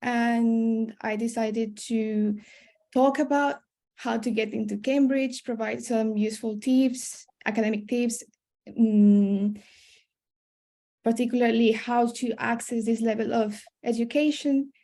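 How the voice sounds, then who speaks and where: Young woman, fairly high voice, science panelist speaking about her own path. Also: fairly even intonation, slow pace, dark tone. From born in Colombia, raised in Spain. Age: young adult. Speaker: PhD student and science communicator, panelist. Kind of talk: self-introduction at a webinar. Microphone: video call.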